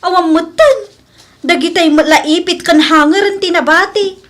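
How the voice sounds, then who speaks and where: A suspicious, gossipy village woman played by an actress in a radio comedy. Her high voice complains in drawn-out, aggrieved phrases. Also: a bit bright. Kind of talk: comedy radio drama acting.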